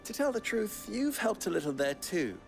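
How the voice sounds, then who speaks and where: A sly merchant fixer who needles everyone with dry, sardonic wit. His mid-pitched voice moves in a lively way, and a clear game score runs behind him. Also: moderate pace, faint music behind, exaggerated voice. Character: wry sly male merchant fixer, teasing. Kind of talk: video game cutscene acting.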